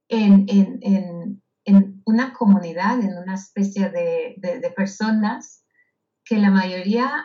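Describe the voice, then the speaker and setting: A woman from Israel, a guest in a casual interview, speaking slowly and thoughtfully with many hesitations. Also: mid-range voice, mellow. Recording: dull lo-fi audio.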